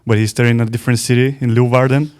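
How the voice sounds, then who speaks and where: A man's voice: mid-range voice, level tone, a little mellow. A man hosting a podcast, playfully asking his guest questions in casual chat. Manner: playful, asks quick questions. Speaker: podcast host.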